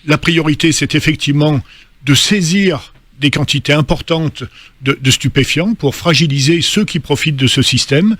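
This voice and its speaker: A man speaking as a studio guest on a radio debate, measured and full of hesitations. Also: on the high side, fairly bright tone, no room sound.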